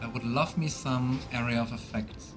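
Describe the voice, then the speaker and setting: A man's voice: mid-range voice, normal speed, loud music behind the voice. A man giving casual let's play commentary as he streams a game, talking to himself and his viewers.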